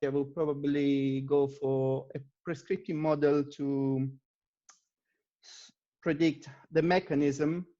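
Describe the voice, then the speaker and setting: A man's voice: fairly high pitch, fairly even intonation, slow, mellow, muffled recording. A man from Italy, a native Italian speaker with an Italian accent, answering questions as an interview guest in a conversational style. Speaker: interview guest, data scientist.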